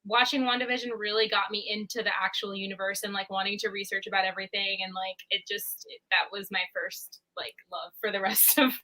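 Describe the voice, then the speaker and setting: A woman's voice: mid-range voice, level tone, fairly quick, hardly any pauses, pushed and bright, rough recording. A young woman guest in a casual livestream chat who laughs often and uses plenty of fillers. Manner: giggly, with frequent laughs and fillers.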